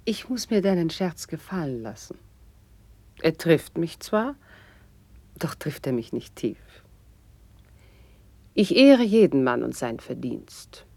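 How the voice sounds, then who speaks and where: Low-voiced, eloquent noblewoman. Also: darkish tone, no room sound, full character voice. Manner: lyrical, eloquent, formal declamation. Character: graceful, worldly noblewoman, witty and warmly eloquent confidante. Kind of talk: classical German verse radio drama acting.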